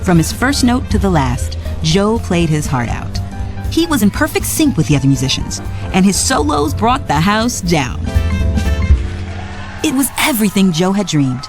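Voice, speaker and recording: Expressive woman reading a storybook. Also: medium pitch, moderate pace. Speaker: storybook narrator. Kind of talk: scripted read-along narration. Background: music.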